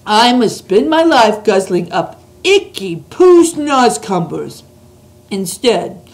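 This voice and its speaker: A woman voicing a huge, very old male giant who speaks slowly and grandly, mangling his words. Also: mid-range voice, big pitch swings, a little mellow. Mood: disgusted.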